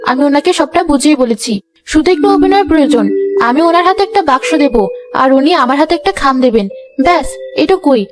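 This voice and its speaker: Very high-pitched, clever young daughter-in-law. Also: female voice, animated, fast talker, over music. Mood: sly, playful. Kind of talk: Bengali cartoon comedy acting.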